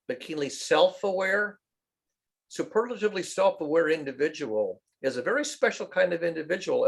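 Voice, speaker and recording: A man's voice: a little high, vocal fry, slightly rough. A man in a casual group discussion, explaining an idea expansively and at length. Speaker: meeting participant.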